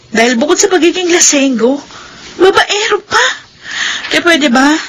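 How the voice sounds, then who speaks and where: An actress playing a sharp-tongued, jealous, hot-tempered young wife in a Filipino radio drama. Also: female voice, high-pitched, animated, unhurried, a little hiss or hum. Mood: irritated, scornful.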